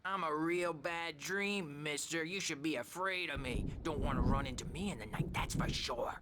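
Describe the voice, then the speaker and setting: A man's voice: on the high side, moderate pace, close to an everyday voice. An actor playing an unhinged, childishly menacing male mental patient in a video game, erratic, repetitive and taunting. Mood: taunting menace. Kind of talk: dramatic video game dialogue. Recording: clear ambient noise behind.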